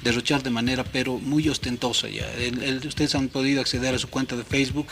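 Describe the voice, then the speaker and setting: Police officer, measured and halting. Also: male voice, medium pitch, little pitch movement, a bit bright, slight background noise. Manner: measured, official, many hesitations and tag "¿no?". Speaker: police major, anti-corruption unit chief. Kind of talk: studio TV interview. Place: TV studio.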